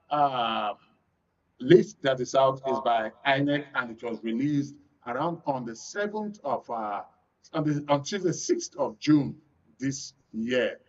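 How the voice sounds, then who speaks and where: A man with a Nigerian accent hosting a current affairs discussion, explaining in a measured way. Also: on the high side, distant mic, highs cut off. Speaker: radio and TV talk show host.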